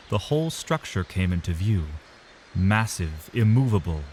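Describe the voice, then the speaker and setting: A man delivering dramatic, measured literary narration as the storyteller of a scripted fantasy tale. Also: fairly low pitch, darkish tone, slight background noise. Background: music.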